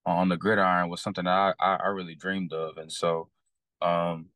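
A man's voice: low-pitched, level tone, a little fast, fairly bright tone, no room sound. A young man from Texas with a Texan accent, a podcast guest telling his story casually, with many fillers and restarts. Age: young adult.

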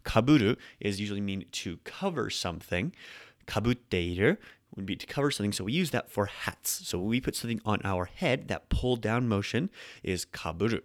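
A man's voice: on the low side, animated, brisk, no room sound, studio quality. A man hosting a casual Japanese lesson, explaining in an upbeat, conversational way. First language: English. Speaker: podcast host teaching Japanese.

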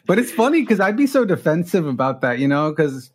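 A man's voice: on the high side, big pitch swings, a little fast, breathy edge. A middle-aged man from Los Angeles whose first language was Spanish, a guest telling his own story in a candid, self-deprecating way with frequent restarts.

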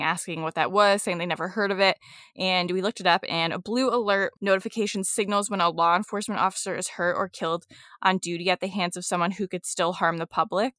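A woman's voice: lowish voice, a bit bright, dry recording, studio quality. A woman co-hosting a true-crime podcast, chatting casually and reading news, a bit sniffly and congested. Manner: chatty, laughs easily, many fillers. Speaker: podcast co-host.

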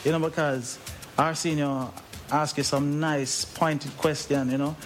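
A man's voice: a little high, level tone, measured pace, faint music behind. A man with a Jamaican accent interviewing a guest, stammering and repeating words as he presses his questions. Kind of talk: casual interview. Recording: hissy recording.

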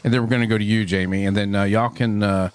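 A man's voice: on the low side, fairly even intonation, fast, clean tone. A man hosting a casual podcast, joking and teasing with his co-host. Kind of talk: casual chat.

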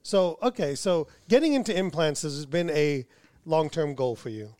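A man's voice: on the high side, lively intonation, moderate pace, a little mellow, no echo, clean studio sound. A man in his forties hosting a podcast interview, rambling a little and correcting himself as he asks questions. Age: 40s. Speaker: podcast host and dentist. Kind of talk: casual interview chat.

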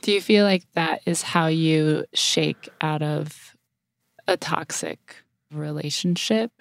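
A woman's voice: sits low, slow, creaky, no room sound, clean studio sound. A woman co-hosting a podcast in a conversational style. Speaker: podcast co-host.

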